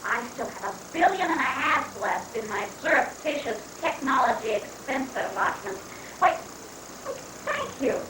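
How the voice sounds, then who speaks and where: A woman's voice: high-pitched, big pitch swings. Woman acting flustered, swooping-voiced bumbling secretary. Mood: befuddled. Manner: halting, flustered. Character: muddled, bumbling government secretary lost after lunch. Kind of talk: amateur dramatic reading of a satirical play. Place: room at a live library reading. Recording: echoey, distant, noisy room recording.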